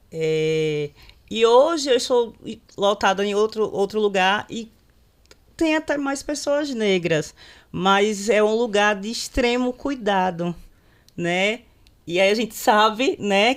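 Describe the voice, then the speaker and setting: A woman in her thirties speaks with a Sergipe Brazilian accent at a comfortable middle pitch. She tells her stories in an unhurried, conversational way, as a guest on a videocast. She often closes a thought with a quick questioning tag. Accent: Sergipe Brazilian Portuguese. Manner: unhurried, tags many phrases with a questioning aside. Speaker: public servant guest on a videocast. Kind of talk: conversational storytelling in a panel discussion.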